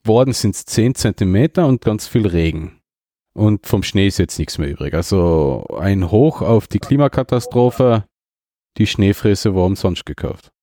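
A man's voice: fairly low pitch, lively intonation, a little slow, dark tone, dry recording, studio quality. A man with an Austrian accent hosting a podcast in casual, rambling, jokey chat. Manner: jokey, self-mocking. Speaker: podcast host.

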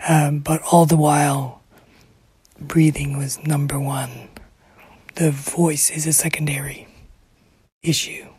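Middle aged lady, really breathy raspy voice, slow and halting, talking about her life. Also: low voice, steady tone, a little mellow, dry recording. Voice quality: breathy, whispery damaged voice. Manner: halting, short phrases. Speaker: interviewee, former teacher. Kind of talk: reflective personal interview.

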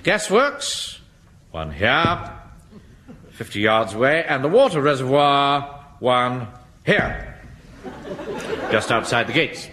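An actor playing a pompous, easily flustered middle-aged English Home Guard captain in a British sitcom, speaking in clipped, officious commands. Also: male voice, mid-range voice, very animated, takes it slowly, pauses often, sharp tone, exaggerated voice. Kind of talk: British sitcom acting before an audience. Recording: noisy old radio comedy recording.

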